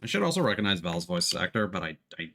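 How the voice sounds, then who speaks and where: Low-voiced man casually streaming. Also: very animated, quick pace, pushed and bright, slight background noise. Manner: hesitant, rambling, stumbles over words. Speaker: live streamer. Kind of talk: casual game commentary. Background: game music.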